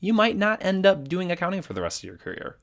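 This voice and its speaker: A man's voice: high-pitched, fast, creaky. Nasal, congested male podcast host. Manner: playful, self-deprecating, animated. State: recovering from illness, congested. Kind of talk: casual podcast interview. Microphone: video call.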